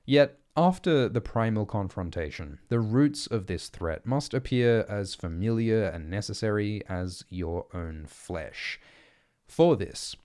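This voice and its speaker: A man reading essays and letters aloud as an audiobook narrator, in a measured, deliberate and articulate way. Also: low voice, a little mellow. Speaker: audiobook reader.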